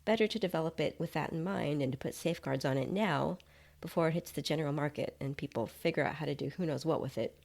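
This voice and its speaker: Woman playing male app developer, nonchalant, chatty, explanatory, low creaky voice, short-story reading. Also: fast, a little mellow. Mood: casual, chatty.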